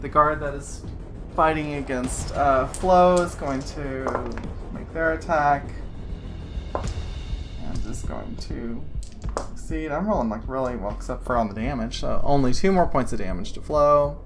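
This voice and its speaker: A woman runs a tabletop game in a loose, meandering way, stacking fillers as she narrates. She laughs often between the moves. Music plays clearly behind her voice. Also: a bass voice, measured pace. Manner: rambling, filler-heavy narration with frequent laughs. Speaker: game master.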